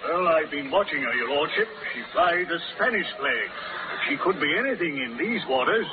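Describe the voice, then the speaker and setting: An actor playing a dutiful, alert ship's captain in 1930s radio style. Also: male voice, a little high, expressive, normal speed, lightly acted. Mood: watchful. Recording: scratchy, tinny 1930s radio recording.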